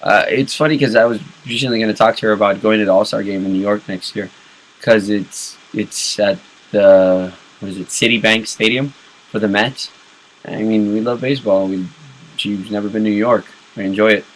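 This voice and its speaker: A man's voice: fairly low pitch, steady tone, moderate pace, poor audio. A man co-hosting a casual live video podcast, rambling along with crude jokes. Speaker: podcast co-host.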